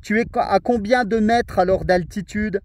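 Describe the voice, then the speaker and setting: A man's voice: high-pitched, normal speed, a little mellow. A man talking on a portable ham radio from a mountain summit, chatty and friendly with many fillers. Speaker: radio amateur operating outdoors. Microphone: portable radio, filming a video.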